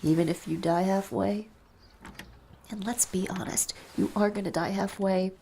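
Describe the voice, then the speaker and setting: An actress playing a weary, wry, wistful confidante in an intimate audio drama, murmuring haltingly. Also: female voice, medium pitch, steady tone, gravelly, mellow, a little hiss or hum, lightly acted. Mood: tender, resigned.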